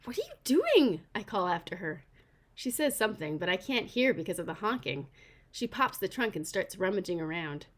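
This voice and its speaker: A woman reads a children's novel aloud as its author. Her delivery is animated and informal, and her pitch swings widely as she goes. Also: fairly quick. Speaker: author reading her own book aloud.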